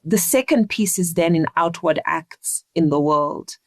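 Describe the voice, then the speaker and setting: Interview guest: woman, slightly low pitch, earnest and deliberate, dry sound. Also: no room sound. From Zimbabwe. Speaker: interview guest, leadership coach. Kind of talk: reflective conversational interview.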